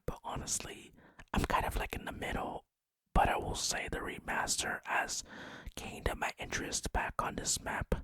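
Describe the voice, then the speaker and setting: Asmr gamer whispering right up on the mic, crisp and bright, super dry sound. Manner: whispers throughout. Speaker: gaming video host. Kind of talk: whispered ASMR gaming narration. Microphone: close, dry microphone.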